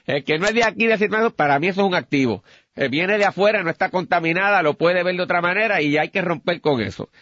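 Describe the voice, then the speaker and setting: Higher-voiced Puerto Rican man debating politics. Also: quick pace, fairly bright tone, lo-fi sound, a little hiss or hum. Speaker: political commentator. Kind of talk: radio political discussion.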